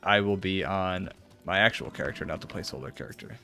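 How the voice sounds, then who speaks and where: A man with a fairly low voice streams a video game playthrough. He reads the dialogue aloud and slips into casual, joking commentary. Faint game music plays behind him. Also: fast talker, creaky, bright, forceful tone.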